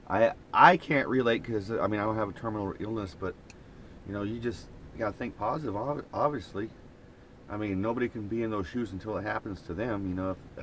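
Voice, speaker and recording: A man's voice: lowish voice, a bit bright, slight background noise. A man from Oklahoma with an Oklahoma accent, rambling in a folksy, casual live chat from an old camper van while reading viewer comments. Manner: rambling, folksy, laughs often.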